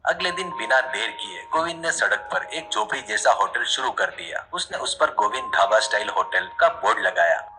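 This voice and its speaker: A man's voice: medium pitch, very animated, normal speed, vocal fry, hardly any pauses, music in the background, rough recording. A man narrating a scripted cartoon story in an expository storybook manner.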